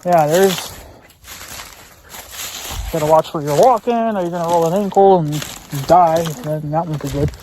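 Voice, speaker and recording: A man's voice: a little high, measured pace, long pauses, dark tone. An American man rambling casually outdoors in a folksy way, thinking aloud as he films a vlog. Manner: folksy, musing aloud, mild swearing. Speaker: vlogger. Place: outdoors in rural woods. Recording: faint outdoor background noise. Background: faint outdoor noise.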